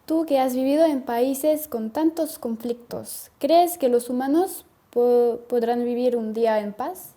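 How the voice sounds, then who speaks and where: A woman's voice: fairly high pitch, measured pace, hardly any pauses, dark tone. A woman interviewer asking short, prompting questions.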